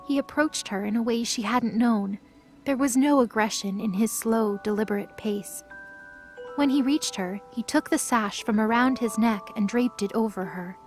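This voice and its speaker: A woman's voice: on the high side, normal speed. A woman narrating a scripted audio drama as a storyteller. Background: music.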